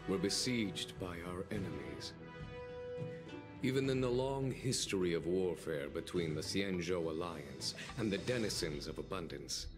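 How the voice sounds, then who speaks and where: Video game general: very low voice, composed and eloquent, formal phrasing, clear music underneath. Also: male voice. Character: calm, dignified male army general, gently wry. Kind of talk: dramatic video game dialogue.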